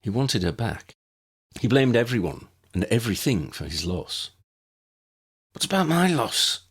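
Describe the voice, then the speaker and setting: A man's voice: mid-range voice, big pitch swings, unhurried, a bit bright, breathy edge, pitched above the performer's own voice, full character voice. A man voicing an angry, boastful wizard villain in an audiobook, proud and defiant with a thin, weak voice. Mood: angry, hurt. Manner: proud, defiant, with a dry cough. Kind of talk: audiobook character voice.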